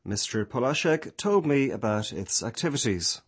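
A male radio presenter reads a scripted introduction in a measured, even manner. Each phrase is clearly spoken. His voice sits at an ordinary middle pitch.

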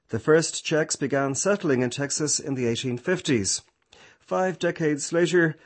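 A male radio presenter reads a scripted introduction in a measured, even manner. Each phrase is clearly spoken. His voice sits at an ordinary middle pitch.

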